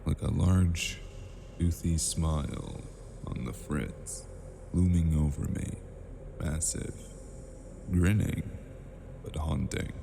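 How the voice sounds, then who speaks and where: Very deep, gravelly male narrator. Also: fairly even intonation, slow pace, pauses often, big-room sound, background noise. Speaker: narrator and lead character. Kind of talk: first-person noir audio drama narration.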